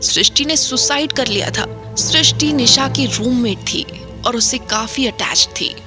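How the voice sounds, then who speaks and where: A woman's voice: fairly high pitch, measured pace, over music, close to an everyday voice. A woman voicing a playful young male college student who teases his friend and tells a story, in an audio drama. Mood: storytelling. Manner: teasing, chatty storyteller. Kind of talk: Hindi audio drama acting.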